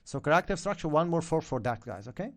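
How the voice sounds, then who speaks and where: Man, native Arabic speaker, somewhat high voice, energetic, keeps asking "okay?", live-stream lesson. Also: quick pace. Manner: energetic, repeats "okay?" and "yeah?" to viewers. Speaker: trading coach.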